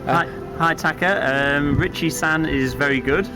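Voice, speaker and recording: A middle-aged man from Wales speaking casually and explaining things in a measured way as a guest on a radio contact. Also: on the high side, over music, rough recording. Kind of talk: casual chat.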